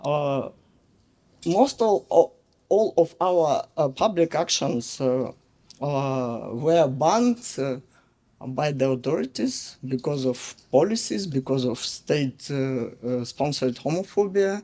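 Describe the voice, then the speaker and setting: A man from Russia with a Russian accent, giving a public speech slowly and haltingly with many pauses and fillers. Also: a little high, lively intonation, warm tone. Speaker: guest speaker.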